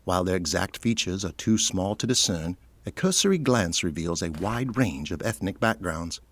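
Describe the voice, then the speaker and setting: A man's voice: low voice, very animated, normal speed. A man delivering scripted narration for an audio drama, setting each scene.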